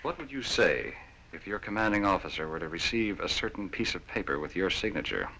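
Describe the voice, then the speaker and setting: An actor playing a cool, controlling spy recruiter in a training film drama, curt and menacing. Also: male voice, low-pitched, lively intonation, fairly quick, bright, forceful tone, a little hiss or hum, heavily acted, poor audio. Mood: cool menace.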